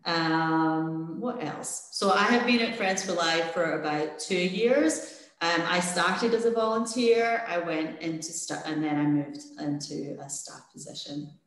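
A woman instructor on a video call, her voice sitting on the low side and ringing with strong room echo. She talks in a friendly, hesitant way, with lots of "um"s as she guides the group. The sound is that of a webcam mic in a bare room. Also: unhurried. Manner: friendly, hesitant with frequent fillers. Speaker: dog training instructor. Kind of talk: leading a volunteer training call. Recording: very echoey room.

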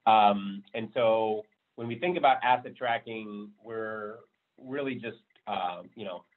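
A man's voice: lowish voice, measured pace. Man, rambling meetup presenter, lots of fillers, narrow tinny call sound. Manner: loose, rambling, heavy on fillers. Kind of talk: casual technical presentation. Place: home room on a video call. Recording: thin phone-like call audio.

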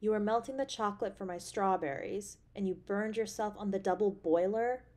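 A woman voice actor playing an affectionate, playful, caring girlfriend in an intimate roleplay audio drama, with a tender, expressive delivery. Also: medium pitch, a little fast, lightly acted. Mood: worried, scolding.

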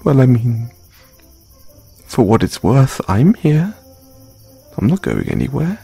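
A man performing an intimate comfort roleplay as a gentle boyfriend consoling his grieving partner, speaking in a soothing, tender, hushed way. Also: mid-range voice, huge pitch swings, takes it slowly, vocal fry, slightly rough, faint music behind.

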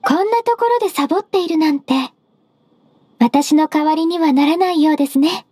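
An actress playing a gentle, diligent young secretary in an anime game, speaking politely and formally. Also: female voice, high voice, brisk, no echo, studio quality, lightly acted. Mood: composed, businesslike. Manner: polite, formal, soft-spoken.

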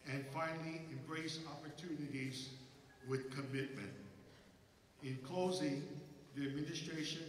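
An older man with a Hawaii accent, reading a formal commencement address as school president from a lectern, in measured phrases. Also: on the high side, distant mic, a little hiss or hum. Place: large concert hall. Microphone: lectern mic. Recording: echoey hall, voice from afar.

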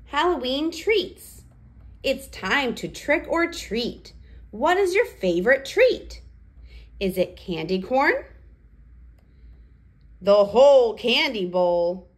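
A woman hosting a read-aloud for toddlers, speaking in a deliberate, sing-song way with simple phrasing. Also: a little high, sing-song. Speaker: children's read-aloud host.

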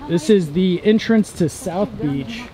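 A man's voice: high voice, expressive, unhurried, dark tone, low-quality recording. A young man chatting casually in an outdoor vlog, informal and rambling. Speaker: travel vlogger. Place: outdoors at a beach and island park. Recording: outdoor vlog with background music.

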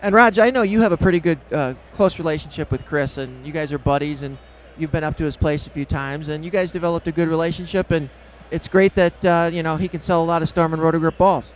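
A man's voice: on the high side, fast talker, a little hiss or hum, rough recording. A man interviewing on a busy trade show floor with a handheld mic, easygoing and friendly. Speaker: roving interviewer.

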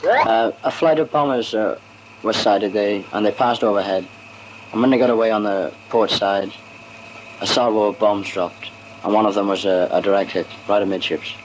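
Young sailor in an old newsreel. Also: male voice, on the low side, steady tone, normal speed, low-quality recording. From Wales. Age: young adult. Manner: plain, with hesitant fillers. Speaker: young sailor interviewed on film. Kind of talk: 1940s newsreel interview. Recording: old newsreel film audio with faint hiss.

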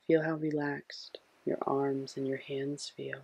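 A man with a husky, breathy voice gently guiding a sleep meditation in a soothing, hushed way. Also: medium pitch, flat delivery, slow pace, highs cut off. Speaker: meditation guide.

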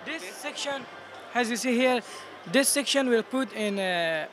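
Teen afghan guy, really high voice, halting english, presenting at a busy expo w some background noise. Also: expressive, fairly bright tone. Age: teens. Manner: halting non-native English. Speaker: student competition participant. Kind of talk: explaining a project in an interview. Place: busy exhibition booth indoors. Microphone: handheld interviewer microphone. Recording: noisy event hall background.

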